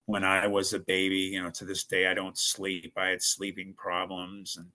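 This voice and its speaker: A man's voice: deep voice, monotone, moderate pace, bright, forceful tone. A man from Ohio, a podcast guest, tells his story in an earnest, rambling way, often restarting his sentences. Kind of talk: casual storytelling.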